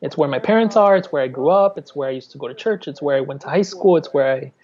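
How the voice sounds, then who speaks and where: A man's voice: on the high side, fast, darkish tone, muffled recording. A man in his thirties, an artist from Miami, talking candidly in an interview, often restarting his sentences. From Miami, Florida. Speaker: artist, guest. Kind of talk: conversational interview.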